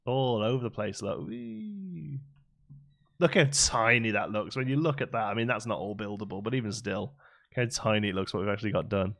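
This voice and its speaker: A man's voice: fairly low pitch, fairly quick, creaky, a bit bright. A man with a West Midlands accent from Staffordshire, chatting casually as he streams a game and answers viewers from his home setup. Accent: West Midlands English. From Staffordshire, England. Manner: chatty and easygoing, frequent restarts and fillers. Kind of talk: casual live-stream chat, reading and answering chat. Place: home streaming room with green screen.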